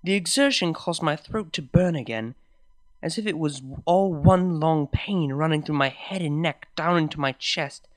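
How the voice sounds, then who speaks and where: A woman reading a first-person story aloud, expressive and dramatic. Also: low voice, normal speed, no room sound. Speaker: fanfic reader.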